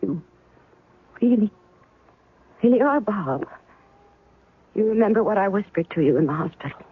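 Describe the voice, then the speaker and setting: Sharp, husky-voiced heroine; animated delivery; tinny 1970s radio sound with faint music. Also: female voice, mid-range voice, moderate pace. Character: shrewd woman caught in spy intrigue. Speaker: narrator and heroine. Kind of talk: 1970s radio drama acting.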